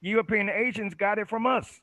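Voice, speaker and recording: Emphatic man with a bright, dry voice. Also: high-pitched, moderate pace, no room sound. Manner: earnest, emphatic, repeats himself. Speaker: podcast guest. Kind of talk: casual discussion. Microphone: video call.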